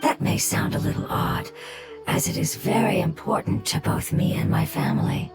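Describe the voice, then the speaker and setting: A woman voicing a gentle ancient nonhuman survivor in a video game, speaking solemnly and formally in a breathy, whispery voice. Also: medium pitch, lightly acted. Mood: regretful. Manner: solemn, measured, formal storytelling. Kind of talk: scripted video game dialogue. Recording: game audio under loud music.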